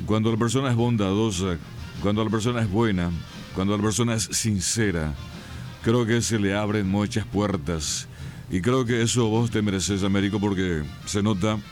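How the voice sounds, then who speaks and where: Male radio host, very low voice, measured delivery, faint music bed. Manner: measured, warm praising speech. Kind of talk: radio interview.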